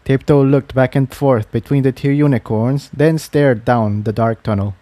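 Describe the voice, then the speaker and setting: A man's voice: mid-range voice, normal speed, warm tone, no room sound. A man reading an adventure story aloud as scripted narration. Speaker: audiobook-style reader of fan fiction.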